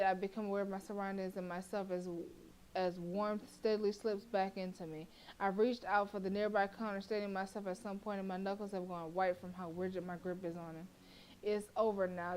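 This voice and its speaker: A woman's voice: mid-range voice, slight background noise. A woman streamer who reads a horror visual novel aloud in a dramatic way and breaks off for profane, joking asides.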